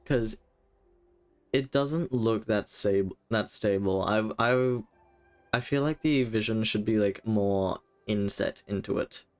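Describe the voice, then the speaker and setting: A man's voice: fairly low pitch, takes it slowly, darkish tone. A man giving casual live gameplay commentary as a streamer, rambling and thinking aloud. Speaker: game streamer.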